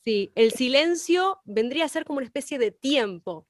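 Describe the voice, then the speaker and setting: High-voiced Argentine woman, dry sound. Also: expressive, moderate pace, dry recording. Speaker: co-host of an online class. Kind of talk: casual, courteous class talk.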